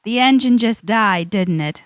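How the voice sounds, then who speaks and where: A woman's voice: medium pitch, measured pace, no echo, studio quality, natural voice. A woman playing a sensible, anxious, exasperated adventurer's sidekick in a comedic children's audio drama. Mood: alarmed, sarcastic. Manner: exasperated, excitable.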